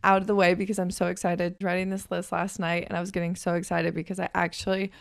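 A hoarse young woman in her twenties hosting a podcast in a chatty, upbeat way, her voice raspy from just waking up. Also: on the low side, little pitch movement, fast. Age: 20s. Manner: chatty and upbeat, with frequent fillers. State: hoarse from waking up and a weekend of talking. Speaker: podcast host and personal trainer. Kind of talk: casual chat.

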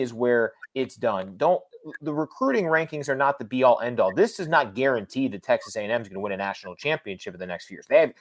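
A man's voice: mid-range voice, no echo. A man talking sports as a guest analyst, explaining his points emphatically and repeating phrases to drive them home. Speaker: radio play-by-play announcer, guest analyst.

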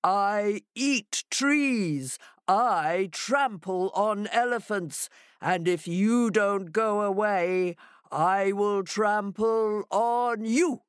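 Woman acting storybook monster, big booming voice, menacing, very slow drawn-out words, dry close sound. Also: clean tone, dry recording. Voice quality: large, booming voice. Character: booming, threatening mystery monster hiding in a house.

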